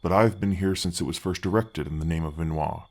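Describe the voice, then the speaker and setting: Gargoyle guardian played by a man, ancient but gentle: very low, effect-deepened voice, friendly, reassuring, slightly awkward fantasy ASMR delivery. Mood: chatty, explaining. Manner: halting, friendly, reassuring, chatty. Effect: deep voice effect.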